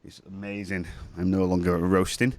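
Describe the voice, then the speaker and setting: A man's voice: low voice, level tone, moderate pace, a little mellow, no echo. A man with a cold, chatting casually while giving a music production tutorial at his computer. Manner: chatty, rambling, self-correcting. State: has a cold, sniffly. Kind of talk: casual screen-share tutorial.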